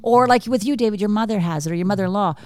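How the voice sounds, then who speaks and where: A woman hosting a casual talk show interview, chatty and quick with interjections. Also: mid-range voice, a little mellow, no room sound. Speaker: host.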